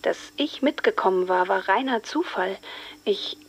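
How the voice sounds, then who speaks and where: Shaken, halting teenage girl. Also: mid-range voice, lively intonation, breathy edge. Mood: uneasy, subdued. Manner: hesitant, halting, hushed. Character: shaken teenage girl recalling finding her murdered friend. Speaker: actor in a radio drama. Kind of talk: dramatised witness testimony in a German radio play.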